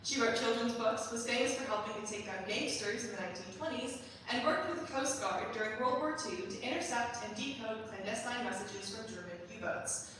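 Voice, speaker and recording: A young adult woman giving a prepared, measured introduction as a student composer presenting her staged reading. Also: fairly high pitch, a bit bright. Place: large performance hall. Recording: very echoey hall, distant mic, faint noise.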